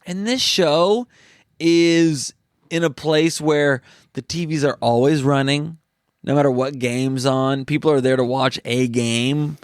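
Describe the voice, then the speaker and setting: A young man on a podcast, casually telling a story in an animated way and quoting what others said. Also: a little high, slow pace, clear, resonant tone, no echo, clean studio sound. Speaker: podcast co-host, comedian. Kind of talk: casual chat.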